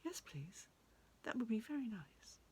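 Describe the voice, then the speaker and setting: A woman's voice: a little high, big pitch swings, a little slow, warm tone, slightly rough, heavily acted. A woman storyteller voicing a polite, helpful man wandering in a folk tale, obliging and slightly hesitant. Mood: polite, concerned. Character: polite, helpful young male wanderer seeking his luck.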